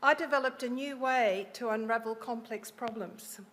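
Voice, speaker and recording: A woman presenter opening a pitch at a live event, speaking loudly and briskly. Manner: loud, brisk. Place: event hall.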